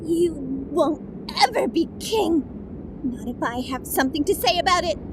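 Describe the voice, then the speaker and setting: A woman playing a tiny, haughty, distrustful fantasy creature in an audio drama, squeaky and dramatically indignant. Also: lively intonation, moderate pace, noisy background. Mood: defiant.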